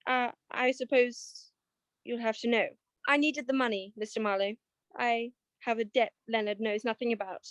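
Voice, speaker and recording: A woman plays a well-to-do wife in a 1940s radio mystery. Her high voice is genteel but flustered and hesitant, evasive and anxious, recorded close and dry. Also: fairly even intonation, moderate pace, dry recording, lightly acted. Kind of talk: 1940s radio detective drama acting.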